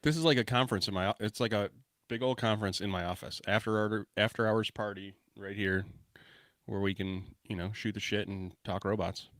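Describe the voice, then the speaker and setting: Brash low-voiced male podcast co-host. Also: steady tone, a little fast, a bit bright, no room sound. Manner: brash, swearing, joking banter. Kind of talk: casual podcast chat. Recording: dry close mic in a home office.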